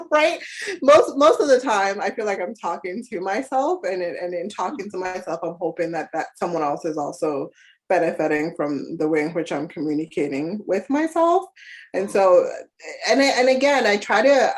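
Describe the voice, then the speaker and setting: A woman's voice: fairly low pitch, expressive, normal speed. A woman from Ghana, a therapist, talking casually as a podcast guest and often adding "right?" along with fillers. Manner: talkative, often adds "right?" and trails off with fillers. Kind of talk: casual conversational interview.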